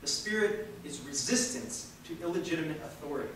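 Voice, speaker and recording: A man reading a political speech aloud at a public event in an emphatic, oratorical way, with dramatic pauses. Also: a little high, expressive. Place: large echoey room at a live university event. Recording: echoey hall from far off, faint noise.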